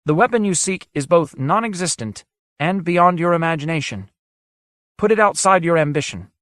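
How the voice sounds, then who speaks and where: A man's voice: on the high side, expressive, normal speed, a bit bright, no room sound, clean studio sound, pitched above the performer's own voice. A man voicing an aloof ancient sage. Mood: solemn reproach. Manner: solemn, formal and reproachful. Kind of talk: audiobook character voice.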